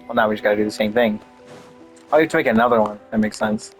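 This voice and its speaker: A man's voice: lowish voice, rapid-fire, soft background music, poor audio. Offhand man doing gameplay commentary. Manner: offhand, thinking aloud. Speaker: game streamer.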